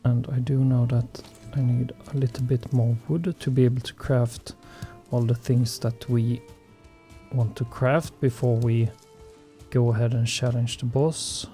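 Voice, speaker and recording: A man's voice: mid-range voice, little pitch movement, mellow, soft background music. A man whose first language is Swedish, commenting on his survival gameplay in a hesitant way full of ums and uhs. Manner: slow, hesitant, many fillers. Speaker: gaming channel host.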